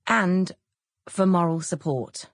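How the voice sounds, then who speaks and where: A woman's voice: on the low side, takes it slowly, no echo, clean studio sound. A woman voicing the cheerful, self-deprecating heroine of an audiobook novel, bantering and earnest in her protests. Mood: cheerful, reassuring. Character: cheerful, self-deprecating divorced mother, easily flustered narrator heroine. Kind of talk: audiobook character dialogue.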